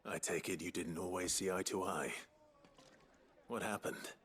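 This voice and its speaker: An actor playing a modest, steadfast noble hero in a video game, measured, understated and formal. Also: male voice, low-pitched, steady tone, fairly bright tone. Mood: thoughtful. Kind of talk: video game character dialogue. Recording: game music behind the voice.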